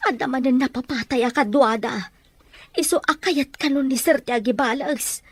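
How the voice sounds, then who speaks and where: High-voiced, anxious household maid. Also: female voice, moderate pace, slightly rough, dry recording. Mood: worried, deferential. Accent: Ilocano. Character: anxious, loyal female household helper. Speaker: radio drama actress. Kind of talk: Ilocano radio drama acting.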